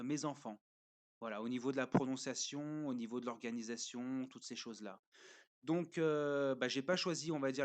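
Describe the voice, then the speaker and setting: A man speaking casually as a parent reviewing a learning platform, pausing often with fillers and explaining step by step while he shows a screen. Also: mid-range voice. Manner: hesitant, pauses often with fillers.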